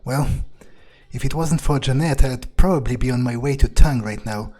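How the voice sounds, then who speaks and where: A man's voice: medium pitch, moderate pace, darkish tone. A man giving in-character commentary on a video game, thinking aloud in a blunt, muttering, profane way. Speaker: gamer playing in character. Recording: voice over game background music. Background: music.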